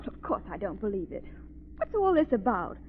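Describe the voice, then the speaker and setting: An actress playing a smart, excitable detective's companion with arch, expressive 1930s radio delivery. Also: female voice, mid-range voice, normal speed. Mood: concerned. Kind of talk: 1930s radio drama acting. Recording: tinny, hissy 1930s radio recording.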